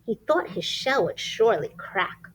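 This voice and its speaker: A woman reading a picture book aloud to young children, expressive and emphatic, pausing to ask questions and point things out. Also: a little high, breathy edge, poor audio. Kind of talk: animated children's read-aloud.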